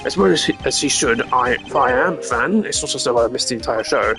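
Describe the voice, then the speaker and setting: A man's voice: mid-range voice, lively intonation, brisk, over music, close to an everyday voice. A man voicing a smug, haughty old ambassador under suspicion in a video game, pompous and condescending. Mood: self-satisfied.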